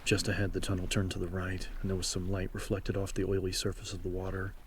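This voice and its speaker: A man's voice: low voice, completely flat delivery, quick pace, slight background noise. A man reading scripted horror fiction as a dramatic audiobook narrator.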